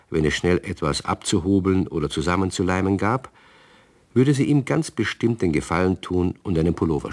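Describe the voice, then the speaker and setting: A male narrator reads a children's radio play in a storybook style. His voice is very low and steady.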